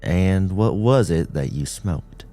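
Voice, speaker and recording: A man voicing an experienced police officer in an audiobook story, speaking with calm, gentle authority. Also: sits low. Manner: measured, authoritative.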